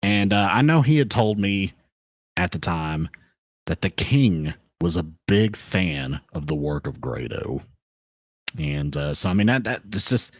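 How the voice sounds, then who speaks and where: A man hosting a solo podcast, talking off the cuff with hyped banter and shout-outs to his chat, into a compressed studio microphone. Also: low-pitched, dry recording. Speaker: podcast host.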